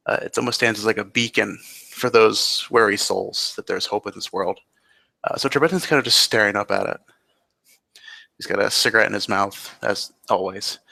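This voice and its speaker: Gravelly, bright-edged man narrating a roleplay. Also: fairly low pitch. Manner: halting, descriptive. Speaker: roleplayer narrating his character and scene. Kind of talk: improvised game narration.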